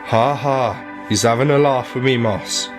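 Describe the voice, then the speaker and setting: An actor playing an easygoing, folksy local road worker in a radio play, speaking colloquially. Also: male voice, medium pitch, measured pace, over music, natural voice. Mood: amused, calming. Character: easygoing local road worker, reminiscing about old tales.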